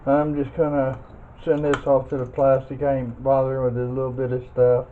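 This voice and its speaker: A man's voice: mid-range voice, monotone, normal speed. A man giving a casual hands-on demonstration as a video host, folksy and thinking aloud while he works. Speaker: video host demonstrating scrap metal teardown. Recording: dull lo-fi sound, faint noise and clicks.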